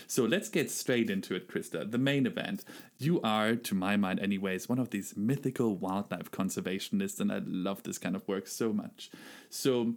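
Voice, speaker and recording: A man's voice: on the low side, a little mellow. Enthusiastic man hosting a podcast. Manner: enthusiastic, effusive. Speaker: podcast host. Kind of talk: casual interview chat.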